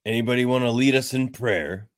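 An actor playing a gruff, irritable and sardonic superhero brawler in a live radio theatre reading. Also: male voice, medium pitch, fairly quick, dry recording, close to an everyday voice. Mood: harried sarcasm.

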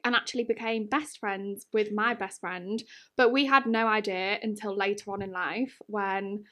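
Bubbly late-20s woman from north wales, giggly, loves telling a story, mid pitch, easy chatty podcast talk. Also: fairly even intonation, moderate pace, sharp tone. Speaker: podcast co-host. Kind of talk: casual chat.

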